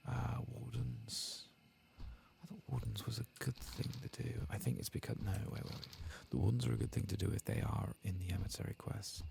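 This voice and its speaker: A man murmuring soft ASMR commentary as he plays a video game, often slipping into whispers close to the mic. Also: fairly low pitch, very animated, a little fast, creaky, slight background noise. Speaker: streamer playing a video game.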